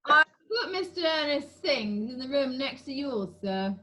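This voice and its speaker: A woman's voice: high voice, very animated, measured pace, pushed and bright, full character voice. A woman playing a polite, matter-of-fact male servant in an amateur play read-through. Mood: dutiful. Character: formal, deferential male household servant. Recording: faint hum on video call.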